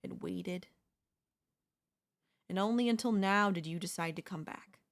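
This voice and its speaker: A woman's voice: lowish voice, measured pace. A young woman playing an obsessive, lovesick yandere neighbor in an intimate, intense audio roleplay. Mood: wounded, pleading. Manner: intimate, pleading, emotionally intense delivery. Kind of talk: ASMR audio roleplay acting.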